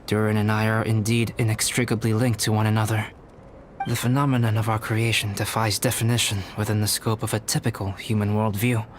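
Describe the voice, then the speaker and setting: An actor playing a calm, reserved scholarly alchemist in a video game, speaking in a measured, formal and articulate way. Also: male voice, lowish voice, slight background noise, lightly acted. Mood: calm, reflective. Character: calm, youthful-looking male scholarly alchemist, reserved and courteous. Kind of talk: video game character acting.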